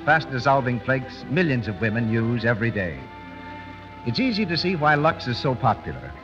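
A man with an American accent announcing and pitching a sponsor in a polished, formal 1930s radio style. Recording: muffled 1930s radio broadcast with music.

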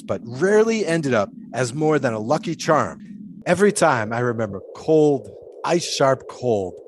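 An actor playing an eccentric, philosophical 1920s daredevil in an audio drama, serenely cryptic and teasing, with ornate phrasing. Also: male voice, mid-range voice, slight background noise, natural voice.

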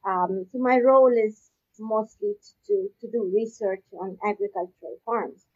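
A woman from Pakistan with a husky voice, answering interview questions conversationally in a halting way, repeating words as she goes. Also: medium pitch, a little mellow. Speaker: guest, agricultural research scientist. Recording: dull, lo-fi audio.

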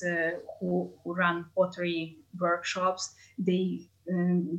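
A woman's voice: fairly low pitch, poor audio. A woman from Hungary with a Hungarian accent, a tour guide answering interview questions in a chatty way with many hesitations.

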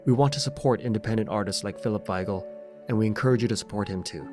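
A man with a lowish voice delivers a scripted podcast outro as host. A song plays clearly behind him throughout. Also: fast talker, over music. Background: song playing under the voice.

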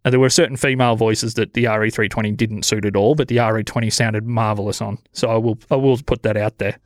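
An Australian man hosting a podcast in relaxed, low-energy casual chat, with a sibilant voice on a studio mic. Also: fast talker, dry recording. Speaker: podcast host. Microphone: studio dynamic mic.

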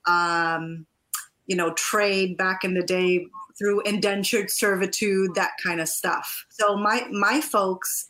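A woman born in Trinidad and raised partly in the US speaks as a guest in a conversational interview. Her voice sits at a middle pitch. She tells personal stories as a coach, in an effusive, expressive manner. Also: poor audio. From born in Trinidad, moved to the US at about eight. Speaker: guest, life and divorce coach.

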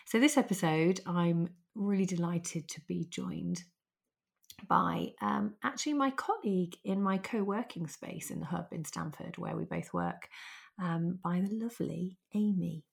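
A woman hosts a podcast in a chatty, lively way that moves between bright and hushed. Her voice is low-pitched with a croaky, gravelly texture, as she is still getting over losing her voice. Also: a little slow. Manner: chatty, lively, laughs easily. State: hoarse, recovering from lost voice. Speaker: podcast host. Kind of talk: casual podcast interview.

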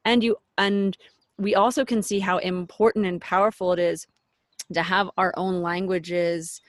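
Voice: medium pitch, fairly even intonation, measured pace, dry recording, studio quality. A host talking reflectively in a casual conversation, searching for words.

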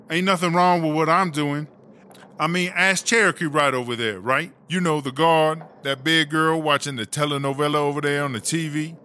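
A man's voice: on the high side, sharp tone. A man voicing a brash, boastful female inmate boss in a comedy audio drama, telling rambling, slangy, profane stories.

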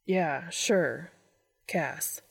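A woman's voice: low-pitched, extremely slow, vocal fry, husky, soft background music, full character voice. A woman voicing a casual, slightly awkward younger man in an audiobook story. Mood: awkward, friendly. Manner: hesitant, informal.

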